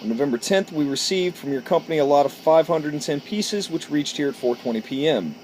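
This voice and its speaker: Man telling stories over a steady background hum. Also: fairly high pitch, a little fast. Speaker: vlogger. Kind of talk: casual storytelling to camera, reading letters aloud.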